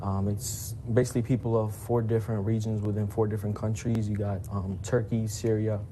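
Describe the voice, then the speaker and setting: A man's voice: lowish voice, little pitch movement, fairly quick, dark tone, slight background noise. A man in his twenties from Iraq introducing himself in a casual, matter-of-fact way. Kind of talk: unscripted self-introduction.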